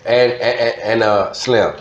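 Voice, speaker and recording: A man's voice: lowish voice, steady tone, unhurried. A middle-aged man with a Louisiana accent chatting casually as an interview guest, slangy and repeating his words, in a dull, roomy studio recording. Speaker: guest, veteran radio DJ.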